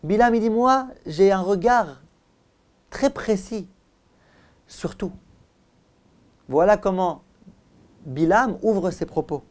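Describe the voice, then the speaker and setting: A man giving a religious lecture as a rabbi, didactic and emphatic, telling stories in deliberate short phrases and posing rhetorical questions. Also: sits high, lively intonation, a little mellow. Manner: rhetorical questions, emphatic repetition, acts out dialogue. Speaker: rabbi giving a Torah class.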